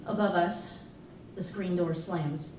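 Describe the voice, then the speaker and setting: A woman's voice: on the low side, steady tone, takes it slowly, slight background noise. A woman giving a dramatic first-person reading of a story to an audience as a live performance. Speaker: reader performing a novel. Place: live performance in an arts venue. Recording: very echoey hall, far from mic, loud guitar. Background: loud plucked guitar music.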